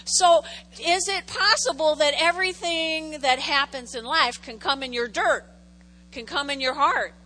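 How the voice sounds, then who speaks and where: A woman's voice: high voice, a little slow, sharp tone. A woman pastor preaching a sermon in a conversational way, repeating key phrases for emphasis and making jokey asides to the congregation.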